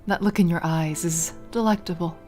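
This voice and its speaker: A woman voicing a cold, haughty female vampire noblewoman who speaks slowly and with arch formality. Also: lowish voice, steady tone, mellow, soft background music, voice pushed down, natural voice. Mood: teasing. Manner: slow, purring, archly formal.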